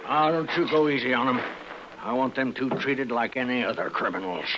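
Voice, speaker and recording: A man's voice: mid-range voice, a little fast, natural voice. A man acting a stubborn, vengeful old rancher with a rural American accent and a blustering, harsh manner in a 1950s radio western. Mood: hostile. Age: older. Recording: muffled, noisy old radio recording.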